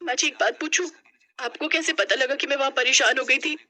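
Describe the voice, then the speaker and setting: A woman's voice: high voice, brisk, sharp tone, pitched above the performer's own voice. A woman voicing a young, emotional newlywed daughter-in-law in a family story. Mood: tearful, remorseful. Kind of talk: animated storybook acting.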